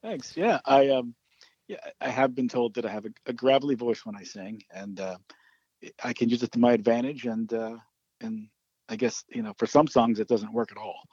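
A man's voice: medium pitch, moderate pace, darkish tone, breathy edge, no echo, muffled recording. A man, a singer-songwriter guest, chatting casually in an interview, often stammering and restarting. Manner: hesitant, stammers and laughs often.

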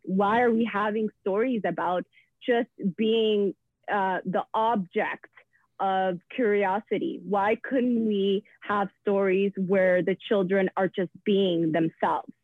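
A woman's voice: lowish voice, dry recording. Earnest woman speaking over a tinny phone line. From Africa. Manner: earnest, thoughtful, pauses with frequent fillers. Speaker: children's book author and guest. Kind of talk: reflective interview answer. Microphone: phone or call-in line.

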